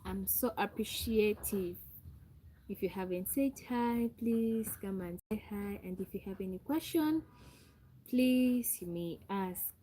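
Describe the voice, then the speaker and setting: Slow, mellow Ugandan woman livestreaming. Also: mid-range voice, slight background noise. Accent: Ugandan English. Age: young adult. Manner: repetitive, calls out to viewers and asks for thumbs up. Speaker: livestream host. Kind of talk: live stream greeting viewers.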